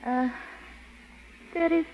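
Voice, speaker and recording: An actress playing a polite young hotel desk clerk in an old radio adventure. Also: female voice, very high voice, fairly even intonation, very slow, heavily acted. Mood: polite, puzzled. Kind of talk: 1940s radio adventure acting. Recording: muffled old-time radio recording.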